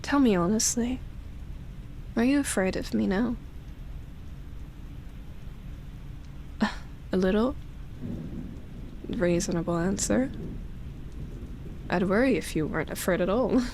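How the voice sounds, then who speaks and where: A woman playing a seductive, tender yet obsessive immortal vampire in an intimate ASMR roleplay, breathy and teasing, slipping into whispers. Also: medium pitch, measured pace, pauses often, a little hiss or hum, natural voice, rough recording. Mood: wistful, intimate. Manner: breathy teasing, soft sighs and chuckles, whispered phrases.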